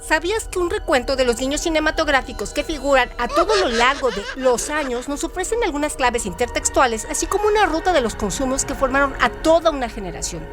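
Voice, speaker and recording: A woman with a high voice narrates scripted literary notes as a radio co-host. Her delivery is articulate and explanatory. Music plays clearly behind her. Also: animated, fast, few pauses.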